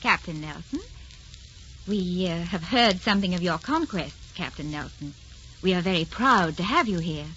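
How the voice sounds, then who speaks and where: An actress playing a gracious, wistful ambassador's wife, refined and poised, in an old radio drama. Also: female voice, on the low side, expressive, moderate pace, sharp tone, faint music behind. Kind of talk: 1940s radio drama acting. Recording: dull old radio recording.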